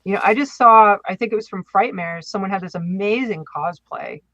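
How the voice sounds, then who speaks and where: A woman chatting casually as a podcast co-host, deliberate and emphatic, repeating words for stress. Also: mid-range voice, fairly bright tone, no room sound, highs cut off.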